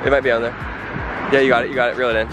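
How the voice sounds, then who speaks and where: A man's voice: medium pitch, level tone, racing, over loud music. A man in his twenties chatting casually as he films a vlog outdoors. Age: 20s. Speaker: vlogger. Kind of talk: casual chat while filming outdoors. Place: outdoors on a rocky riverbank by a road. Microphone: handheld action camera. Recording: noisy outdoor action camera, wind and traffic.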